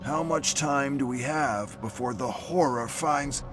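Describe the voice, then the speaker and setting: A man voicing a weary, knowing police detective in a dramatic story, speaking in a measured, cryptic way. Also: medium pitch, music in the background, voice pushed up, natural voice. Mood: grave. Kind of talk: dramatic audiobook character voice.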